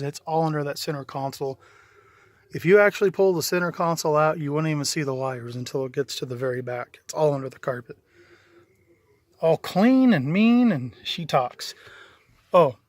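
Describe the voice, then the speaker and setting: A middle-aged man with a fairly high voice and a dry, close sound. He talks casually and matter-of-factly, like a plain-spoken hobbyist walking viewers through his own handiwork on camera. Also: no echo. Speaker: DIY installer presenting own work. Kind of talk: casual video walkthrough narration. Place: in and around a parked vehicle.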